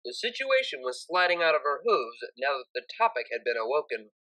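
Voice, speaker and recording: A woman's voice: low-pitched, very animated, brisk, fairly bright tone, low-quality recording. A woman narrating a scripted story expressively, as an audiobook reader.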